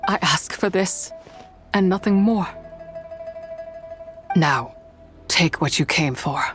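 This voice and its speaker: A woman voicing a proud, weary immortal of the moon in a video game, grave, poetic and measured. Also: on the low side, music in the background. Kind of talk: dramatic video game voice acting.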